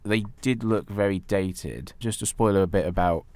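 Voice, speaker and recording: A man's voice: low voice, moderate pace, darkish tone, dry recording. A man co-hosting a podcast in a casual, conversational film discussion. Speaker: podcast co-host.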